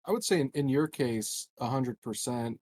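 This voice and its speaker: Male player, mid-pitched, very dry mic, loose joking table chat. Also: moderate pace, dark tone, no room sound.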